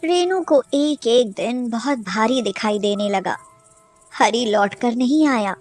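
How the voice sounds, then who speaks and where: A woman's voice: fairly high pitch, slow, a little mellow. A woman reading a scripted story as a storyteller. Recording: music behind the voice.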